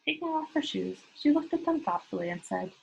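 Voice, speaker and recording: A woman's voice: mid-range voice, very animated, fairly quick, gravelly, highs cut off, a little hiss or hum. A woman reading a children's book aloud as a storyteller, talking to young listeners as she goes. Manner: engaging listeners with simple questions.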